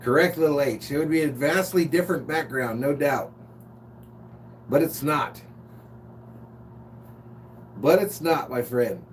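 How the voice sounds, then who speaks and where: A man's voice: on the high side, unhurried, long pauses. An American man from Los Angeles hosting a live sports stream, chatting casually and chummily with viewers and reading out their comments. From Los Angeles, United States. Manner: informal, chummy, reads out chat comments. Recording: distant mic, noisy hum.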